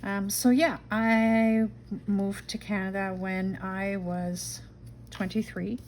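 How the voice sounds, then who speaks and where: Slow-spoken Dutch-accented woman crafting. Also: mid-range voice, flat delivery, a little hiss or hum, low-quality recording. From Netherlands. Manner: chatty, talks to herself while working, soft murmurs and whispers. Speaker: junk journal crafter. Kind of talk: casual craft-along narration.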